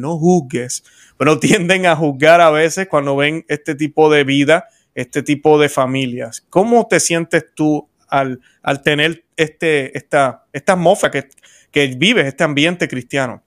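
A man's voice: on the high side, a little slow. A Puerto Rican man hosting a faith interview show, talking in a rambling way with many restarts. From Puerto Rico. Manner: warm-hearted, rambling with restarts. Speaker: host.

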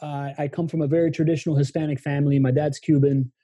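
A man's voice: fairly high pitch, little pitch movement, fast talker, dark tone, breathy edge, no room sound. A man in his thirties, born in Venezuela and raised in Miami, speaking as a podcast guest in a reflective, open way with long run-on sentences. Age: 30s. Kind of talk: casual conversation.

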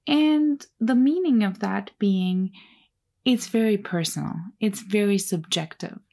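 A woman whose first language is Turkish, musing aloud in an unhurried solo talk about taste and making art. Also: mid-range voice, creaky, warm tone. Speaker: artist sharing creative advice.

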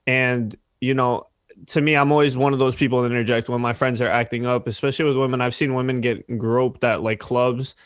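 Man guest, mid-pitched, earnest storytelling, dry close sound. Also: steady tone, brisk, no room sound. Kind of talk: casual panel chat.

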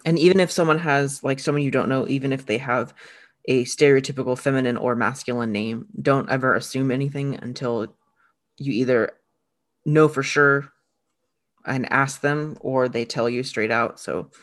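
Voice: medium pitch, fairly even intonation, normal speed. An easygoing podcast co-host who chats casually, agreeing often and rambling with fillers. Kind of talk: casual chat.

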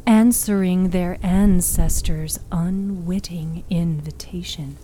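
A woman narrating a dark story in a hushed, breathy, suspenseful, dramatic manner. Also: lowish voice, fairly even intonation, slight background noise. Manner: slow, hushed, suspenseful delivery. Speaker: narrator and author of an audio fiction podcast.

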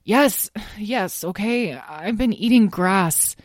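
A woman's voice: medium pitch, animated, takes it slowly, no echo, studio quality, pitched above the performer's own voice. A woman voicing a young male dragon in a children's story, sickly and stubborn, with a whiny, pleading, defensive manner. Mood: miserable, sheepish.

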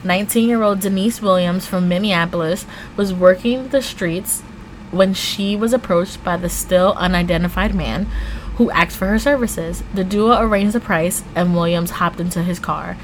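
A woman with a fairly low voice hosts a true crime show in a chatty, slangy way. She moves between reading out the case and giving animated, informal asides. There is some noise in the recording. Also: normal speed, rough recording. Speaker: true crime podcast host. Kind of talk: casual true crime storytelling, mixing scripted narration with chatty asides.

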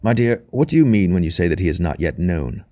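A man's voice: sits low, very animated, brisk, dark tone. A man playing an earnest, doting husband shaken by hope, intense and affectionate, in an audiobook. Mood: tender, serious. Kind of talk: audiobook character dialogue.

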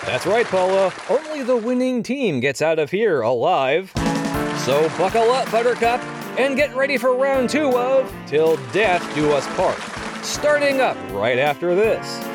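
Flamboyant, high-pitched game show host. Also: male voice, very animated, a little slow, music in the background. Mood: gleeful showmanship. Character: flamboyant, menacing male game show host, showy and smug. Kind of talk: theatrical game show hosting.

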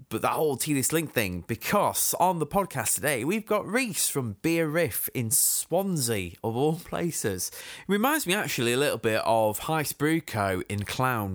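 A man's voice: fairly low pitch, no echo, studio quality. A young man hosting a podcast, chatting casually with a jokey, self-mocking energy. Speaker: podcast host.

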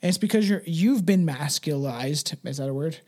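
A man with a high voice chats as a podcast host. He is blunt and emphatic, swears freely and keeps checking in with "right" and "man". The delivery is casual and full of opinion. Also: lively intonation, normal speed, a little mellow.

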